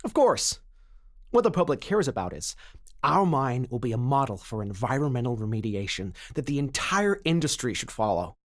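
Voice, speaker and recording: Male actor for a persuasive corporate dealmaker: salesman-like, confident, very lively intonation, mid pitch, audio drama. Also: normal speed, natural voice. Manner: smooth-talking, salesman-like. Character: slick, confident mining company banker, persuasive dealmaker.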